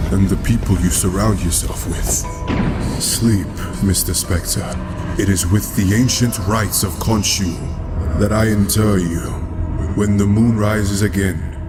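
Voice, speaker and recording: Man acting solemn low-voiced undead moon priest. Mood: grave, stern. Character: solemn devout male vampire priest of moon god. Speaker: voice actor in a comic dub. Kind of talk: dramatic comic dub monologue. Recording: loud music under the voice. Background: loud background music.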